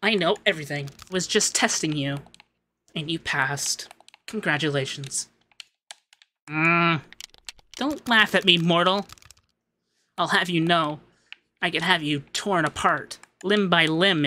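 A man voicing a haughty young femboy demon lord, pompous but easily flustered and secretly soft, in an ASMR roleplay. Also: fairly high pitch, slow, long pauses, a little hiss or hum. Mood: imperious. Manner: pompous commands that slip into flustered cooing.

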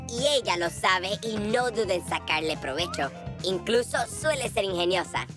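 A woman voicing a wry young boy creature, narrating as a character in an animated cartoon. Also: mid-range voice, normal speed, music in the background, natural voice.

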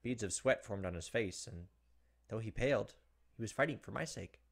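A man's voice: on the low side, lively intonation, moderate pace, creaky. A man in his thirties hosting a let's play, reading game narration aloud with casual asides.

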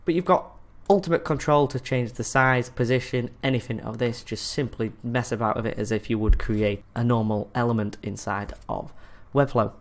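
A man's voice: mid-range voice, normal speed, hardly any pauses. A man presenting a screencast tutorial in a casual, friendly instructional way.

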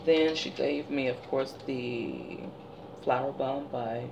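A woman in her forties speaks in a deep, gravelly voice that sounds a little tired. She chats casually and tells stories as a vlogger in her living room. A steady hiss sits under the recording. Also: takes it slowly, highs cut off. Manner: chatty, animated storytelling. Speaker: beauty vlogger. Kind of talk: casual product haul chat. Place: living room by window blinds, indoors.

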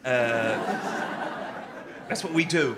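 A man's voice: medium pitch, slow pace, fairly bright tone. A man from the UK doing stand-up comedy in a conversational, filler-heavy way, from a live clip with crowd noise. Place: theatre stage. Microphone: handheld mic.

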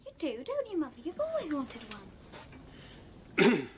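Eager young daughter, lilting. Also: female voice, sits high, wildly swooping pitch, measured pace, background noise, exaggerated voice. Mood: coaxing. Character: tiny teenage daughter, eager, bookish and curious. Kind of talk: naturalistic television drama acting.

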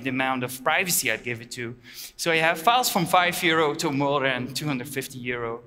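A man talks from a conference stage, his voice bright and forward and a little higher than most men's. He speaks matter-of-factly and haltingly, with frequent uhs. A headset mic picks him up, and the reverb of the large hall trails behind his words. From Belgium. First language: Dutch. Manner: halting, many filler uhs, plain and matter-of-fact. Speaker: keynote speaker. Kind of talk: conference keynote talk.

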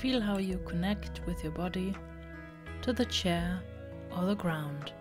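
Low-pitched woman guiding a meditation over music. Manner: slow, deliberate, instructing in short phrases. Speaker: guided meditation reader. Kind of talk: slow guided meditation narration. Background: relaxing background music.

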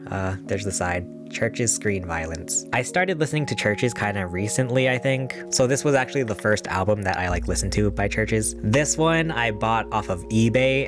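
Casting: young male vlogger, lowish voice, upbeat casual chat, close desk mic, music clearly playing underneath. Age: young adult. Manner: upbeat, chatty, informal with slangy asides. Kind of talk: casual chat to viewers about a collection. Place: small bedroom studio, indoors. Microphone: desk microphone on boom arm, close.

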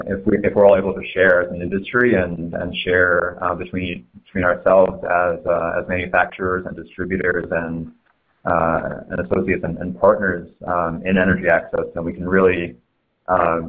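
A man presenting on a webinar, speaking in a halting way with frequent fillers and restarts. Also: sits low. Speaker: webinar panelist. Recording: tinny phone line.

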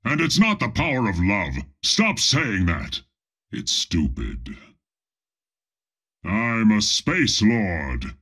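An actor playing a pompous, menacing armored space lord with a robotic body, speaking imperiously with deadpan comic asides in a video game. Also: male voice, slow pace, pauses often, heavily acted. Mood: irritated, pompous. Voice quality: deep, husky, menacing. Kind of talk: video game character voice lines.

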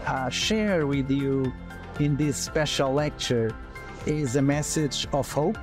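Middle aged guy doing a promo interview, slow n halting, medium pitch, music behind him. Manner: measured, halting phrasing. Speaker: doctor, interviewer. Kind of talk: promotional interview, addressing camera. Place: large open hospital lobby, indoors, seated on sofa. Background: background music.

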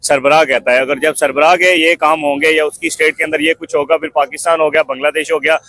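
A young man with a Pakistani accent answers a street interviewer. His voice sits a little high as he explains his opinion. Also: little pitch movement, fast, sharp tone. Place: busy market clothing stall.

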